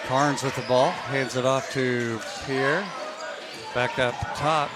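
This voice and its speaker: A man giving rapid, clipped play-by-play commentary on a basketball game. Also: medium pitch, fairly even intonation, very noisy. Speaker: play-by-play announcer.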